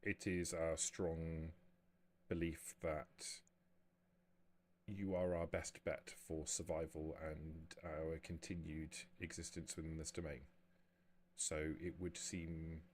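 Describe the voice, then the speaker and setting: A man playing a cautious vampire retainer in a tabletop game, speaking formally in measured, halting phrases. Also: very deep voice, flat delivery, darkish tone, slight background noise. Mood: measured, deferential.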